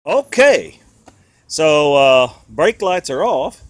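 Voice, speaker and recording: A man's voice: on the high side, big pitch swings, extremely slow, no room sound. An American man with a folksy, rambling, colloquial way of talking, giving casual hands-on commentary as he does DIY car repairs.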